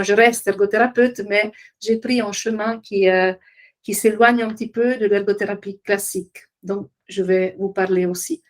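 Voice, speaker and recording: Middle-aged lady w/ presenting her work, medium pitch, kinda hesitant, hunting for words. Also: a little mellow. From Italian-speaking Switzerland. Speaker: occupational therapist, trainer and business founder presenting. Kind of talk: informal web conference presentation.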